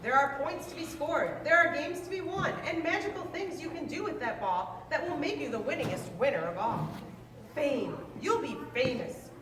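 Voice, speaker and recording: A woman's voice: sits high, moderate pace. Woman doing a bouncy singsong read-aloud of a kids book, roomy echo, bit of background hiss. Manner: lively, sing-song rhyming delivery. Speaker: reader of a children's book. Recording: roomy, distant mic, faint noise.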